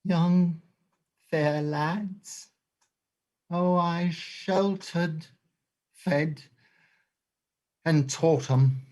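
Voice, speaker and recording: A performer playing a wounded, bitter old crook in a stage play reading, with labored, halting, half-sung speech. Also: medium pitch, fairly even intonation, darkish tone, low-quality recording. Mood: bitter, dying.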